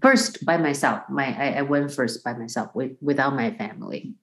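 A middle-aged woman from Taiwan talks as a guest in a conversational interview. Her voice sits low and she explains carefully, often restarting a phrase to add an example. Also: moderate pace. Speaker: guest, percussion professor.

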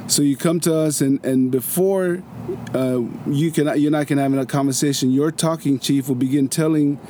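A man's voice: on the high side, steady tone, moderate pace, dark tone, slight background noise. A man from Samoa, a guest in a casual interview, explaining things patiently with frequent restarts and a dry humor. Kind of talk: casual storytelling conversation.

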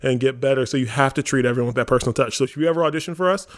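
A man with a mid-pitched voice talks very quickly in a casual podcast discussion. He sounds earnest and emphatic as he hosts for his theater company.

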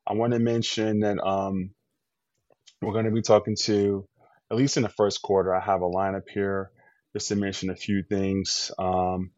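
An upbeat man co-hosting a podcast, talking in a loose, rambling way with lots of "um"s and false starts. His voice sits on the low side. The recording is dull and lo-fi, with a very dry, close sound. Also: level tone, moderate pace, a little mellow, dry recording. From US East Coast. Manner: enthusiastic, rambling with many fillers and restarts. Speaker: podcast co-host. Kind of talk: casual podcast chat.

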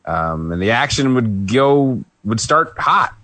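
A man's voice: mid-range voice, very animated, slow pace, pushed and bright, no echo. A man co-hosting a podcast, recapping a wrestling match in casual chat, rambling with fillers and restarts. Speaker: podcast co-host.

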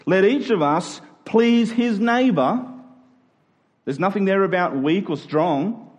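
Male pastor, slightly high voice, talks a congregation through scripture conversationally with rhetorical questions. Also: expressive, slow pace. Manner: asks rhetorical questions with check-in tags like "right?" and "okay?". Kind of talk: conversational expository sermon.

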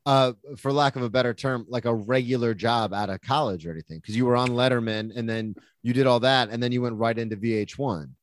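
A man's voice: medium pitch, fairly quick, hardly any pauses, dry recording. A man hosting a casual interview, easygoing and chatty, asking loose questions and dropping in short yeahs and okays. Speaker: interviewer, podcast host.

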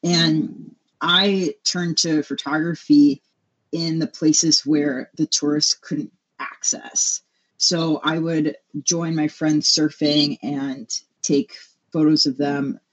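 A woman's voice: low-pitched, breathy edge. A woman from Hawaii with an American accent, answering interview questions in a conversational, reflective way. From Hawaii, USA. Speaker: interview guest, photographer. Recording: dull, lo-fi remote-sounding audio.

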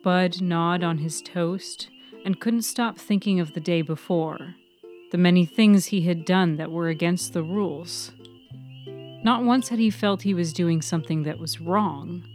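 A woman's voice: on the low side, a little slow, a little mellow, soft background music. A woman reading scripted fiction as the narrator of a serial story podcast.

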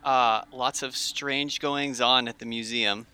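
A man's voice: mid-range voice, steady tone, slow pace, bright, forceful tone, clear, resonant tone. A man playing in a tabletop game, telling his part in a casual, improvised way.

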